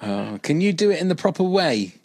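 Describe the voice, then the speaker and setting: A man's voice: a little high, sing-song, fairly quick, darkish tone, no echo. A man hosting a casual chat, joking at his own expense and laughing easily. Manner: joking, self-deprecating, laughs often. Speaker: podcast host.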